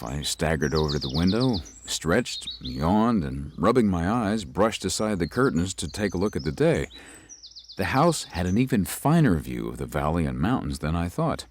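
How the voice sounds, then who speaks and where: An actor playing a young male lawyer who narrates an eerie tale in a literary, measured, dramatic way. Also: sits low, slight background noise. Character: young lawyer narrating his eerie stay, uneasy, athletic man. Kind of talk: scripted first-person ghost story narration.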